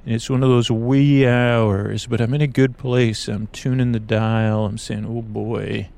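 A man hosting a sleep podcast in a creaky voice, hesitant and meandering as he introduces things and corrects himself. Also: mid-range voice, flat delivery, measured pace, mellow. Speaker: podcast host.

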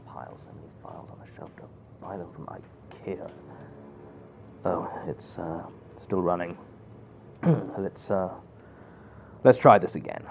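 A man's voice: low voice, animated, measured pace, vocal fry, long pauses, darkish tone, slight background noise, full character voice. A man playing a prim, irritable archivist in an audio drama, speaking formally and precisely with a testy edge. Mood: muttering, irritated. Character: prim, irritable male archivist recording statements.

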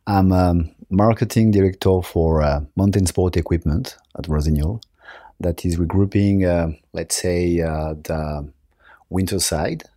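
Male interview guest with a French accent, very deep and dark in tone, halting delivery. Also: steady tone, dry recording, studio quality. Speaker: interview guest, company marketing director. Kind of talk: conversational interview answers. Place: office.

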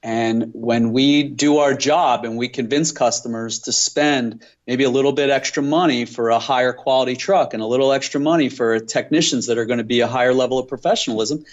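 Guy being interviewed, average pitch, earnest and emphatic, bit muffled lo-fi audio. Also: fairly even intonation, a little fast, hardly any pauses. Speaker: interview guest, business owner. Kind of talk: conversational interview answers.

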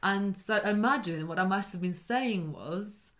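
A woman's voice: mid-range voice, moderate pace, fairly bright tone. A young woman from Poland with a Polish accent, co-hosting a casual chat and jumping between tangents. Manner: scattered, jumps between tangents. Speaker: podcast co-host.

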